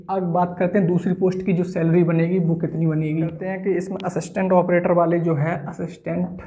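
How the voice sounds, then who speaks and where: Young man teaching, mellow higher voice. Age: 20s. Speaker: exam-coaching teacher. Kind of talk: explanatory whiteboard lesson. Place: small indoor room at a whiteboard.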